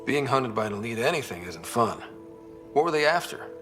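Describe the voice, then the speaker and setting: A man playing a steady, determined young human explorer leader with dry humor in video game cutscene dialogue. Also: medium pitch, a little fast, over music, close to an everyday voice. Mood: steady, reassuring.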